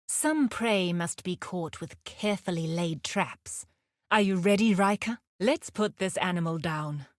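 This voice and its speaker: A fierce female hunter of the wilds in a video game. She is grim and blunt, weighing her words with slow, grave deliberation. The sound is close and dry. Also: mid-range voice, no echo. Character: fierce, grim female wilderness huntress and beast scholar. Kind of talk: scripted video game character lines.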